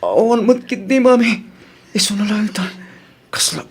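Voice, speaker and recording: A woman playing a weak, ailing child who talks to mother in a plaintive, halting way, in an Ilocano-accented radio drama. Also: medium pitch, expressive, a little hiss or hum, lightly acted.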